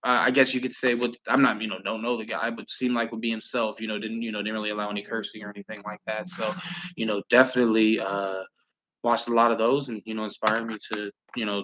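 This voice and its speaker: A man's voice: on the low side, flat delivery, fast talker, a bit bright, slight background noise, poor audio. A man from Southern California hosting a live stream, chatting casually and calling plays, rambling with lots of fillers and casual swearing. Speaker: livestream host and play-by-play commentator.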